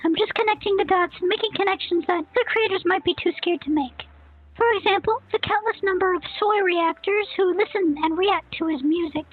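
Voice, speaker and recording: A woman voicing a smug, very high boy pundit. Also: brisk, no echo. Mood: smug ranting. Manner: smug, snarky, self-assured. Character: smug young boy savant commentator. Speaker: commentary channel host. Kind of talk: scripted rant commentary. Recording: tinny phone-like sound, faint music.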